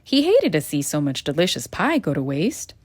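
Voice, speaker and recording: A woman reading a children's picture book aloud in a gentle, expressive storytelling manner. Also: sits low, normal speed. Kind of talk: children's read-aloud narration.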